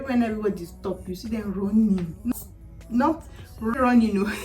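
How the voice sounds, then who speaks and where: A chatty, animated Nigerian woman in her thirties talks casually to her viewers, laughing often and slipping into Pidgin turns of phrase. Her voice sits at a middling pitch. She sounds a little way off the microphone, with faint music underneath. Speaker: vlogger. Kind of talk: casual chat to camera. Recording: heard from a distance, with faint music.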